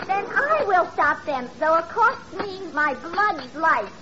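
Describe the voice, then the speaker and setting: A woman's voice: extremely high pitch, big pitch swings, slow, background noise, heavily acted. A woman playing a melodramatic young princess in a comic opera, with exaggerated theatrical delivery in 1940s radio style. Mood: urgent, dramatic. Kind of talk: 1940s radio comedy acting. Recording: muffled vintage radio recording, music, audience.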